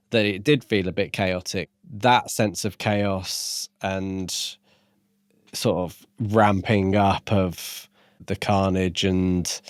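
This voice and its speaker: A man with a fairly low voice talks in a very dry-sounding space. He chats as a podcast co-host, reflective and a little tentative, working his thoughts out as he goes. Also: dry recording. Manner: tentative, thinking aloud. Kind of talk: casual reflective discussion.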